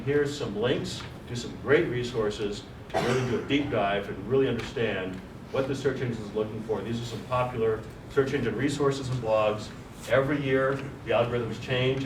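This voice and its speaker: A man giving a brisk conference talk on a panel, explaining points one after another. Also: mid-range voice. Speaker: panel speaker. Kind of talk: conference presentation. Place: large office meeting room. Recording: echoey room, distant mic, noisy.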